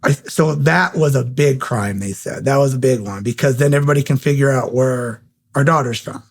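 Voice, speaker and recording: A man's voice: medium pitch, brisk. A man from Montana, a guest in an interview, giving an emphatic account and repeating key points. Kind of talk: conversational account.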